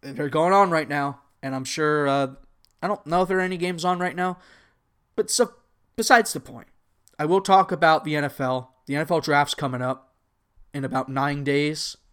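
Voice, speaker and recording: A man's voice: fairly high pitch, steady tone, normal speed, a bit bright, clean tone. A young man in his twenties with a Texan accent, hosting an unscripted solo podcast, rambling casually and correcting himself as he goes. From United States. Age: 20s. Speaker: podcast host.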